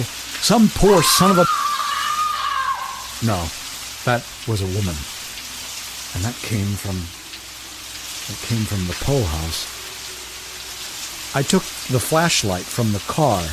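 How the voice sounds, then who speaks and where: A sober, down-on-his-luck night watchman from 1938, narrating a radio drama. His telling is wry and colloquial, with hesitant turns, in an average male register. Steady rain is clearly heard behind the voice. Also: mid-range voice, slow pace, pauses often. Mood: uneasy. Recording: voice over steady rain noise.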